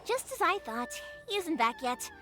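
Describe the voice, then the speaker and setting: High, lively, bubbly young fireworks maker for a game. Chatty and eager to please, over clear game music. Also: female voice, moderate pace. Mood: cheerful. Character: cheerful young woman fireworks maker, warm and talkative.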